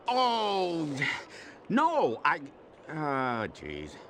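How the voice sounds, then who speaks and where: An actor playing a cranky, sarcastic, long-suffering aging sidekick superhero in a cartoon game. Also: male voice, fairly high pitch, wildly swooping pitch, extremely slow, fairly bright tone, slight background noise, heavily acted. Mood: exasperated. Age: older. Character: grumpy, exasperated aging male sidekick superhero. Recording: music behind the voice.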